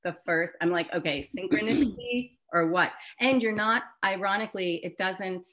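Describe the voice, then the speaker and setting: A woman's voice: medium pitch, moderate pace, a bit bright. A woman hosting an online book discussion in a casual, chatty and enthusiastic way. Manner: enthusiastic, informal. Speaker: host of an online photobook discussion. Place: online video call.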